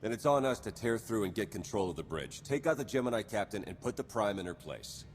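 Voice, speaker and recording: A low, expressive man's voice, acted as a brisk, skeptical agent in a video game. He sounds wry and businesslike. The sound carries a long reverb. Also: faint music behind, close to an everyday voice. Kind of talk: video game cutscene acting.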